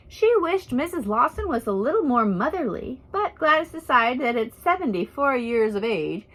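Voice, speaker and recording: A woman reading a biographical storybook aloud as scripted narration, in an expressive storytelling manner. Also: fairly high pitch, poor audio. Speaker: audiobook reader.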